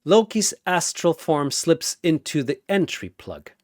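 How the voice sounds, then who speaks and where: A man's voice: on the high side, expressive, unhurried, no room sound, clean studio sound. A man delivering dramatic scripted battle narration in a theatrical, punchy way.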